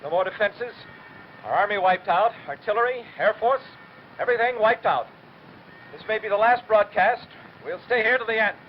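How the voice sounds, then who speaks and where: A man's voice: sits high, lively intonation, normal speed. A man playing a grimly steadfast radio announcer in a 1930s radio drama, reporting disaster in a solemn, declamatory way. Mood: despairing. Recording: dull old broadcast, clear background noise.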